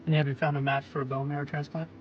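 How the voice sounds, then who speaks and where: A man's voice: a little high, little pitch movement, racing, lo-fi sound, slight background noise, lightly acted. A man playing a kind, busy surgeon in an audio drama. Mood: friendly, caring. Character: kind, busy male surgeon, friendly with kids.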